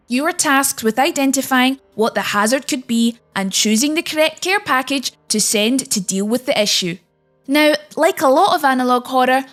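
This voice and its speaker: A woman presents a scripted countdown in a high-pitched voice. She reads as a narrator guiding listeners through each entry. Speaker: narrator and presenter of a video game list.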